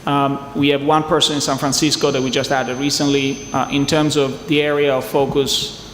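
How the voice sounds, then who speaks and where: A man's voice: medium pitch, level tone, moderate pace, slight background noise. Italian man talking in an echoey hall. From southern Italy. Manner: chatty, jokes with the audience. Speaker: venture capital investor on a panel. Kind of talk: conference fireside chat. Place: conference hall. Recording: echoey hall, faint ambience.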